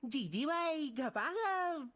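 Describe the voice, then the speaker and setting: A swaggering female game fighter, high-voiced and slow. She draws out sassy taunts in a roomy echo with a crowd-like din behind. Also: lively intonation, a bit bright, heavily acted. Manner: drawn-out, taunting delivery. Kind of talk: game voice-pack one-liners.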